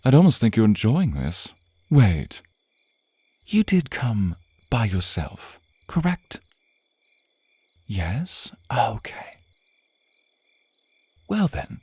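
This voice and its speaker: A man playing a suave, menacing, teasing vampire captor in an intimate ASMR roleplay, with theatrical, purring, drawn-out phrasing. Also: medium pitch, huge pitch swings, warm tone, breathy edge, no echo, heavily acted. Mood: amused, playful. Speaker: roleplay voice performer.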